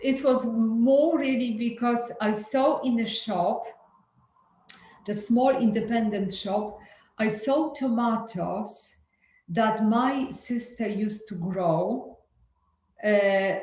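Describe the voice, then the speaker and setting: A woman's voice: medium pitch, slow pace, a little mellow. A woman from Poland, speaking in a candid, hesitant way with frequent fillers and restarts, as a guest in a group talk over a video call. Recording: echoey room with faint ambient noise.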